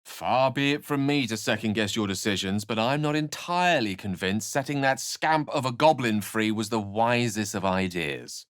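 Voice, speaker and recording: A man acting as a refined, scholarly video game wizard in middle age. He phrases things ornately and with wry precision, theatrical yet measured. The recording is close and dry. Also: on the low side, fairly bright tone, dry recording, lightly acted. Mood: wry, curious. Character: eloquent, refined adult male wizard companion, wry and scholarly.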